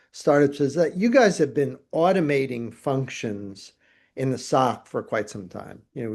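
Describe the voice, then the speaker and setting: A man's voice: medium pitch, a little mellow. A man hosting an interview, asking long, rambling questions in a friendly conversational way. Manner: chatty, rambling questions with restarts. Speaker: interviewer, host.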